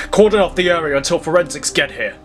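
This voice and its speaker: A man's voice: on the high side, fairly quick, fairly bright tone, soft background music, close to an everyday voice. A man playing a determined, weary middle-aged police captain in an audio drama, terse and authoritative. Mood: weary, firm.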